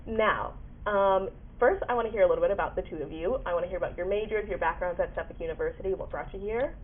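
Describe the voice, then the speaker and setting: A woman's voice: medium pitch, fast, breathy edge. A young woman hosting a podcast in an effusive, peppy manner, moving between prepared introductions and casual interview talk. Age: young adult. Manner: effusive, peppy, frequent backchannels. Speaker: podcast host. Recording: muffled phone-like, radio-like sound.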